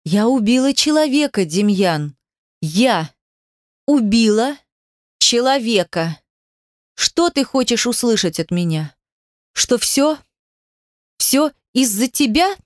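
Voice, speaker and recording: A woman's voice: a little high, big pitch swings, normal speed, no room sound, studio quality. A woman voicing an embittered, hysterical young mother in an audiobook drama, with breathless, tearful outbursts. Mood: anguished, bitter.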